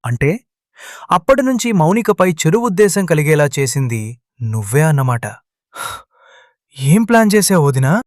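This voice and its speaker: Cartoon drama: man voicing an agitated young husband, high and animated, dry. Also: normal speed, pauses often, dry recording. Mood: accusing. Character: accusing younger man of the family.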